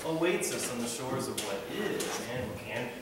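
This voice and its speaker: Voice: mid-range voice, lively intonation, moderate pace, heavy background noise, natural voice. An actor on stage playing a contented, placid prophet who hands out aphorisms in a laid-back way. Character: contented, mellow robed prophet spouting blessings. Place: indoor theater stage. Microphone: far camera. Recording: echoey theater from far off, loud music.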